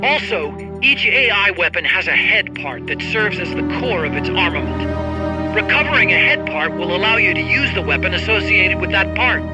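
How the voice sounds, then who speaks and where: A man's voice: high-pitched, expressive, normal speed, loud music behind the voice. An actor playing an earnest, polite male weapons engineer in a video game, eager and deferential. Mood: earnest, persuasive. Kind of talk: video game cutscene acting.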